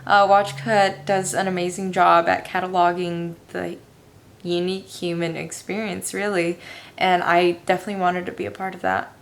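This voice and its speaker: A young woman with a raspy voice, answering viewer questions casually in her room, tired and hesitant with a self-deprecating manner. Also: fairly low pitch, little pitch movement, moderate pace. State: tired with a headache. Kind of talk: casual Q&A chat.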